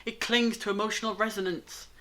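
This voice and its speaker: A woman playing a brainy time-travelling alien in a fan audio drama, lecturing gravely in deliberate monologues with dramatic pauses. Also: medium pitch, fairly even intonation, sharp tone, natural voice, poor audio. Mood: urgent, grave.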